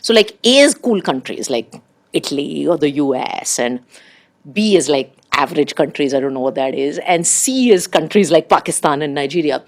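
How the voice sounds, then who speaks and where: A middle-aged woman with an Indian accent and Urdu as her first language, telling personal stories conversationally as an interview guest in an animated, anecdotal way. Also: sits low, moderate pace. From India, raised abroad in diplomatic postings. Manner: animated, anecdotal, acts out quoted remarks.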